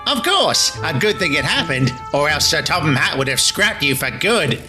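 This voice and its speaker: A man's voice: on the high side, very animated, normal speed, over music. A man voicing a devious, bullying diesel engine in a cartoon dub, sly and mocking. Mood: sly taunting. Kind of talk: cartoon-style character dub.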